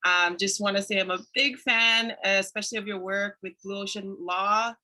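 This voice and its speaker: Very bright-voiced woman from Hawaii. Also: medium pitch, steady tone, moderate pace. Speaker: book club member, mother. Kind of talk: casual video call intro. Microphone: video call.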